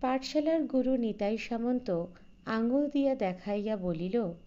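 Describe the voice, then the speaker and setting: A woman narrating a literary short story in a measured, formal storytelling manner. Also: a little high, warm tone, lo-fi sound. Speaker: audiobook narrator.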